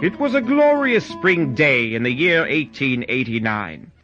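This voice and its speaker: A man playing a genial, loyal Victorian gentleman, telling his story in a courteous, formal way. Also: mid-range voice, big pitch swings, unhurried, lo-fi sound, over music. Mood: genial, reflective. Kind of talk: scripted first-person story narration.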